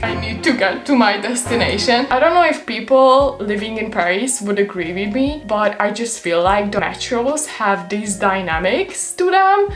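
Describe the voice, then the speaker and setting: Young woman, Hungarian native, casual vlog chat, filler-heavy, faint music behind. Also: medium pitch. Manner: chatty, frequent "like" fillers. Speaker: vlogger. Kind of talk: casual vlog talk to camera.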